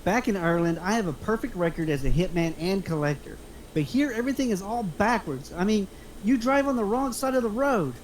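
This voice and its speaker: A man's voice: sits high, animated, a little fast, slight background noise, poor audio. A man playing a nervous, pleading male Irish hitman who is insistent and out of his depth. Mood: flustered. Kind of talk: script table read.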